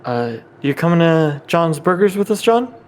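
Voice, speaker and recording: A man's voice: a little high, sing-song, moderate pace, slight background noise. A man playing a tabletop game, improvising casually in a hesitant, mild-mannered way. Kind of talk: casual improvised role-play chat.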